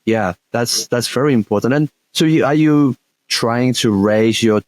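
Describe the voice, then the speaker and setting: A man's voice: medium pitch, unhurried, no echo. A man whose first language is Polish, hosting an interview in casual chat and often repeating words as he thinks. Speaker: podcast host.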